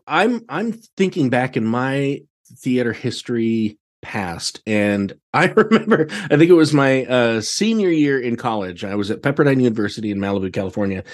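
An American man chatting casually as a podcast guest, telling things in an animated, anecdotal way. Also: on the low side. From United States. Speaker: podcast guest, theatre director.

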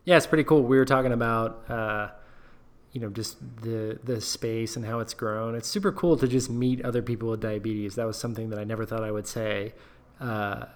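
A man's voice: lowish voice, steady tone, normal speed. A man hosting a podcast interview, chatting casually and encouragingly, with many fillers. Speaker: podcast host.

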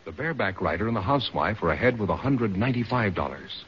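A man's voice: fairly low pitch, lively intonation, fast talker, slight background noise. An American man announcing a radio quiz show in scripted 1940s broadcast style. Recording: muffled old radio broadcast.

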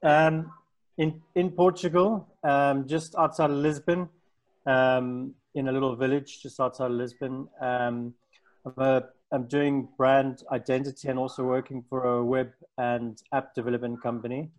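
A weary man from South Africa speaks over a video call with a flat, even delivery. He pauses often, fills gaps with ums and restarts his sentences. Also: medium pitch, low-quality recording. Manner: halting, tired, many ums. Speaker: designer in a video call group. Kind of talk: casual chat.

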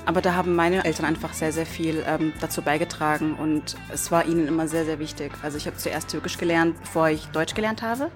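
A woman's voice: low-pitched, steady tone, a little fast, hardly any pauses, music in the background. Thoughtful young woman from near Stuttgart. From Germany, near Stuttgart. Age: 20s. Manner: thoughtful, with fillers and restarts. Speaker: guest, psychology student. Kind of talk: interview answers.

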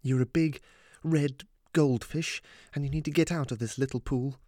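A man voicing an anxious, loving mother in a story, talking in a fretful, halting way. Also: medium pitch, steady tone, dark tone, no room sound, studio quality, lightly acted. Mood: tender, encouraging. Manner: fretful, halting, repeating words. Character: anxious, exasperated, loving mother of a teenage daughter. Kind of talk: audiobook character dialogue.

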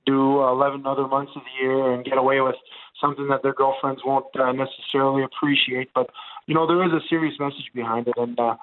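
A man in his forties from Montreal, whose first language is Italian, chatting on the radio with lots of fillers. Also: medium pitch. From Montreal, Canada. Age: 40s. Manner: chatty, rambling, many fillers. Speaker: radio guest, former football player. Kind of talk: casual radio chat. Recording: tinny phone line.

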